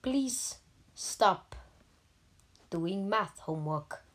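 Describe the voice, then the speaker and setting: A gaming YouTuber with an Indian accent, giving deadpan, halting comedic commentary over mobile gameplay. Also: female voice, medium pitch. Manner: deadpan, halting, joking persona.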